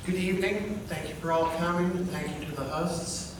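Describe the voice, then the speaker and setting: A man from California, a candidate giving a measured debate opening that stresses numbers with hesitant pauses. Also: sits high, fairly even intonation. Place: debate hall. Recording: echoey hall from far off, noisy.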